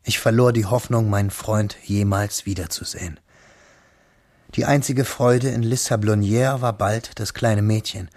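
An actor playing a wistful, pensive young man who narrates his memories in a measured, reflective way for a literary radio drama. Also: lowish voice, steady tone, darkish tone.

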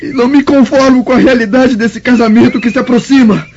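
A man's voice: very high voice, steady tone, brisk, darkish tone. An actor with a Brazilian accent playing an anguished young Roman nobleman in a radio drama, with solemn, sorrowful, formal delivery. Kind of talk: melodramatic radio drama acting. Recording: dull lo-fi radio drama, background noise.

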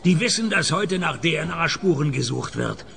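Radio-play private eye, male, gravelly and fairly high, sardonic, hard-drinking, deadpan narration over music. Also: unhurried, full character voice. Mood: deadpan, needling.

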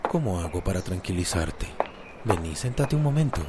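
Gentle, soothing man, noisy background. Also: low-pitched, very animated, normal speed. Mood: gentle, coaxing. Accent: Costa Rican. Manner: coaxing, soothing. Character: gentle, supportive man calming a loved woman. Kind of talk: radio drama acting.

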